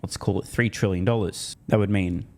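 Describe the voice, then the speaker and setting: Lowish voiced guy narrating stock news, bullish, leans hard on key words, throws in casual asides. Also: steady tone, normal speed, darkish tone. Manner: bullish, emphatic. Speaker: video creator, stock commentator.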